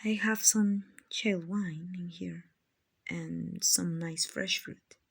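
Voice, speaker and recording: Kindly female vampire acted by a woman, ASMR roleplay, husky and breathy, half-whispered, very slow, soothing, genteel. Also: on the low side, steady tone, heavily acted. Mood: soothing, reassuring. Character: gentle, kindly female vampire, soothing rich slave-buyer.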